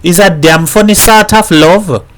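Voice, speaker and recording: A man voicing a sharp-tongued, forthright middle-aged mother who speaks in dialect. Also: high-pitched, a little slow, a little hiss or hum, voice pushed up. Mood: scolding. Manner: scolding, forthright, dialect speech.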